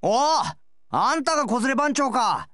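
Casting: upbeat young fighter, extremely high for a man, bright attack, anime game. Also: very animated, moderate pace, dry recording, clean studio sound, heavily acted. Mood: eager.

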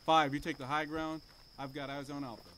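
A man playing a brisk army lieutenant in an audio drama, giving terse military commands. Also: on the high side, flat delivery, fairly bright tone, close to an everyday voice, rough recording. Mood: commanding.

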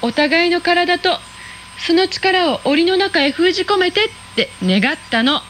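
A sassy teenage schoolgirl with a high, chatty voice and a quick, cheeky way of talking, as a woman acts her out. Faint background noise sits under the lines of this radio play. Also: expressive, fairly bright tone, full character voice. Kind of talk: radio drama acting.

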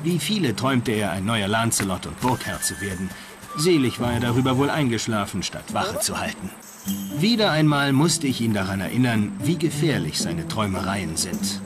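Solemn medieval knight over loud music. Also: male voice, lowish voice, big pitch swings, normal speed. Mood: solemn, reminiscing. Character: proud landless medieval knight recounting his adventures. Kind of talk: dramatised first-person storytelling.

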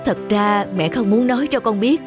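Woman acting a gentle, weary widowed mother. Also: medium pitch, normal speed, music in the background, close to an everyday voice. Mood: sad, tender. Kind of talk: animated drama dubbing.

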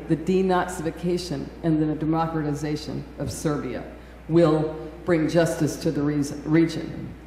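A woman's voice: deep voice, mellow. Earnest woman testifying in a hearing room. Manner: earnest, deliberate, emphatic. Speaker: witness testifying. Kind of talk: formal testimony. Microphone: desk microphone. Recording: echoey hall, faint room noise.

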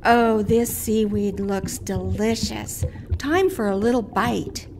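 A woman's voice: mid-range voice, takes it slowly, background noise. A woman voicing a cheerful, food-loving female little fish, perky and childlike, in a children's puppet show.

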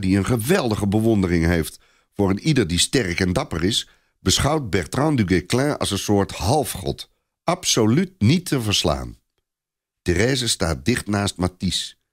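A man narrating a scripted story expressively, as a storyteller. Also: fairly low pitch.

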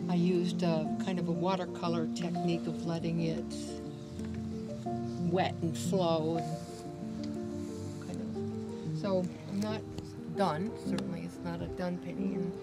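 Older woman explaining, loud music behind. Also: lowish voice. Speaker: painter being interviewed. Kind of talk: casual explanation. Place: outdoors on a lawn. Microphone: camera a few feet away. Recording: loud music over outdoor voice.

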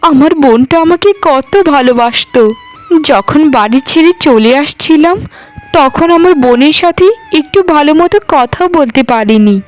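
A woman's voice: sits high, normal speed, soft background music, lightly acted, poor audio. A woman voicing a loving, sorrowful young wife in a cartoon, with an emotional, plaintive delivery. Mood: homesick sorrow. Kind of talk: melodramatic cartoon dialogue acting.

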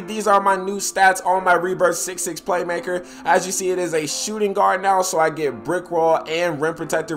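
A young man talks straight to his viewers, hyped and full of slang, his voice set a little high. His nose is stuffed up. Game music plays faintly behind him. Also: a bit bright. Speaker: gaming YouTuber. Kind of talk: gameplay commentary.

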